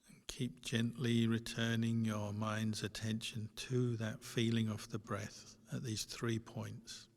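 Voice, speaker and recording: A man teaching as a meditation guide, giving gentle, unhurried instructions with long pauses between short phrases. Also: lowish voice, monotone, gravelly. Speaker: Buddhist monk and meditation teacher. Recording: long hall reverb.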